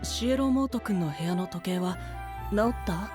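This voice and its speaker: A man playing a gentle, mild-tempered boyish knight in an anime-style audio drama, speaking kindly. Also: high voice, animated, normal speed. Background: background music.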